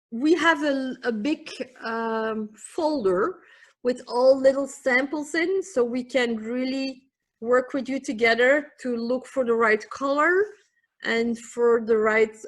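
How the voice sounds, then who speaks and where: A woman whose first language is Dutch, speaking English with a Dutch accent as a guest on a live video-call presentation, talking in a halting way with fillers. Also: on the high side. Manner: halting, with fillers and soft laughs. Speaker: guest presenting a product line.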